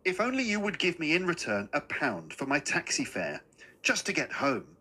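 Storybook role: courteous little old gentleman, played by a male reader, gently pleading, higher-pitched, lively intonation. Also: moderate pace, slightly rough, full character voice. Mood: flustered, coaxing.

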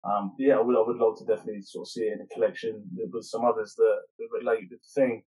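A man's voice: lowish voice, little pitch movement, racing, mellow, poor audio. British man chatting loosely as interview guest. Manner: loose, rambling, self-correcting explanations. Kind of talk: casual interview chat.

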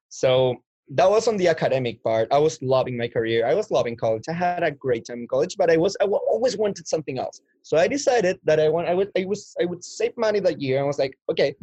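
A man's voice: fairly high pitch, darkish tone, husky, no room sound. A man in his twenties from Chile, telling his life story as an interview guest in a rambling way with many restarts. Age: 20s. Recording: dull lo-fi dry sound.

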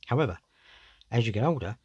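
A man's voice: medium pitch, animated, quick pace, a little mellow, slightly rough, no echo, highs cut off. Candid man hosting a solo podcast. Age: 40s. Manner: candid, conversational, frequent "you know" and restarts. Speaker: podcast host.

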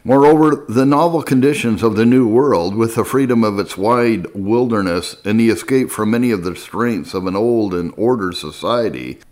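A man narrating a historical text in a measured, formal audiobook style. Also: lowish voice, darkish tone. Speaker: narrator reading history aloud.

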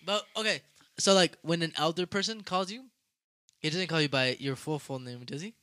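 A man's voice: a little high, normal speed, bright, forceful tone. A young man co-hosting a podcast, joking and teasing in casual slangy chat. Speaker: podcast co-host.

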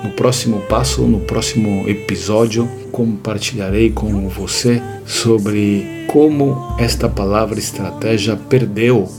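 A man hosting an educational series, explaining ideas in a measured lecture with deliberate, emphatic repetitions. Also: on the low side, fairly even intonation, music in the background. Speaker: host of an educational series on strategy.

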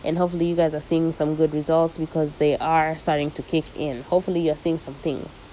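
A young woman hosting her own podcast, talking casually and lively and dropping Swahili slang into her speech. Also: low voice, a little fast, a little hiss or hum, low-quality recording. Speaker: podcast host. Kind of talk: casual solo podcast monologue. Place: living in the United States.

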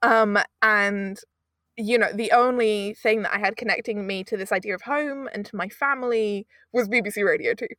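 A woman's voice: mid-range voice, normal speed, fairly bright tone, no room sound, studio quality. A woman in her twenties from the UK, chatting casually as an interview guest, rambling with frequent laughs. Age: 20s. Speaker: audio producer, guest.

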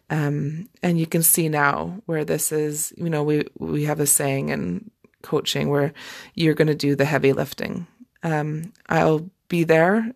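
Podcast coach, medium pitch, noticeable vocal fry, informal encouraging delivery, emphatic repetition. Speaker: life coach hosting a podcast. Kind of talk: informal solo podcast talk about coaching.

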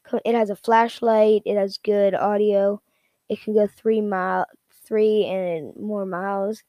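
A woman giving a casual, unscripted product rundown in a halting, repetitive, informal way. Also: mid-range voice, fairly even intonation, mellow, dry recording. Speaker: product reviewer.

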